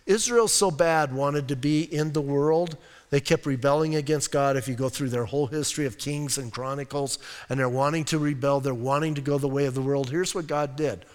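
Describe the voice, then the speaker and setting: A male pastor preaching a conversational, emphatic sermon. He asks rhetorical questions and reads scripture slowly and deliberately. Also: mid-range voice, fairly even intonation, smooth. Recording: reverberant hall sound.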